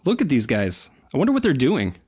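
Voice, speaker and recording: A man's voice: mid-range voice, huge pitch swings, brisk, darkish tone, husky, dry recording, clean studio sound. A man playing a cocky, easygoing young adventurer in an audio drama, casual and joking. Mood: curious.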